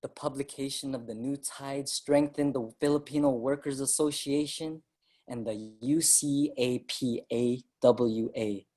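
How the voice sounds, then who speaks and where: An actor playing an earnest Filipino migrant writer and activist, narrating dramatically in a staged reading. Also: male voice, mid-range voice, a little mellow, natural voice.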